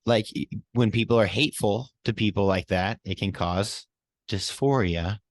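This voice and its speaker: A man with a very low voice chats casually as a podcast co-host. He backs his partner up with frequent short affirmations. The recording is dry and close. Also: normal speed, dry recording. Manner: supportive, frequent affirming interjections.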